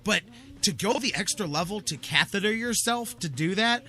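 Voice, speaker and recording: A man's voice: sits high, lively intonation, normal speed, bright, forceful tone, faint music behind. An American man hosting casual sports talk radio, emphatic and slangy, repeating phrases to drive his points home.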